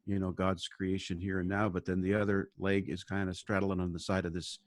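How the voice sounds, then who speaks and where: A man co-hosting a podcast, thinking aloud in a relaxed, casual way. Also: sits low, brisk, dry recording, clean studio sound. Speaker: podcast co-host. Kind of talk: casual conversational talk.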